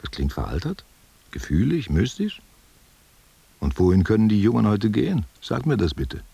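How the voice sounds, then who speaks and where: An actor playing a gruff but tender, brooding old man in a literary radio play, reflective and patronising. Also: lowish voice, sing-song, moderate pace, mellow, a little hiss or hum. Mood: brooding, probing. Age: older. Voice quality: husky, gravelly.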